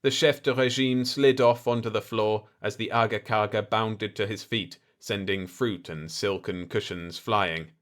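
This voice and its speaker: A man's voice: lowish voice, steady tone, a little slow, hardly any pauses. Man narrating an audiobook. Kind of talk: scripted story narration.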